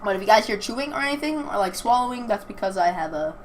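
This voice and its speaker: A woman's voice: mid-range voice, lively intonation, quick pace. A young woman casually commenting on a game and reading its text aloud, informal and chatty, stumbling over words now and then. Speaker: gaming video host. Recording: clearly noisy amateur home recording.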